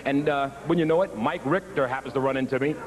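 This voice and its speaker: Man playing a fast-talking, self-important security guard. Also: mid-range voice, natural voice. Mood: chatty, self-important. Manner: brash, colloquial street talk. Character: chatty, bumbling, self-important arena security guard, easily fooled. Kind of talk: mock interview comedy sketch.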